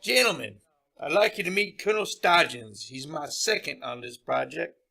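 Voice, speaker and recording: An actor playing an authoritative military general in charge of a project, brisk and commanding, in radio drama style. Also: male voice, fairly high pitch, gravelly, pushed and bright. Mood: genial, hopeful. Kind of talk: old-fashioned radio drama acting.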